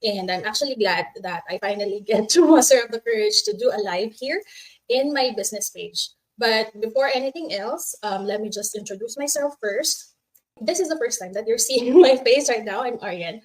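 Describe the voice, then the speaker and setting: A woman's voice: on the high side, expressive, moderate pace, low-quality recording. Chatty Filipino woman hosting a livestream. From Philippines. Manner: friendly, chatty, laughs often, switches between English and Tagalog. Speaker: host of a Facebook live. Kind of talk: casual livestream chat.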